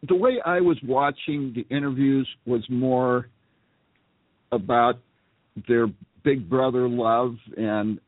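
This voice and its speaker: Male talk show host, relaxed and hesitant, tinny dry sound. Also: mid-range voice, no room sound. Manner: relaxed, hesitant, many fillers. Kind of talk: casual talk show chat. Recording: tinny phone-like line, dry.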